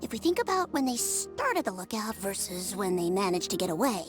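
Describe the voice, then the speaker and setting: A woman's voice: medium pitch, big pitch swings, a little fast, over music, close to an everyday voice. A woman voicing an earnest, polite, methodical young woman investigator in a video game. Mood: pondering. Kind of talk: animated video game voice acting.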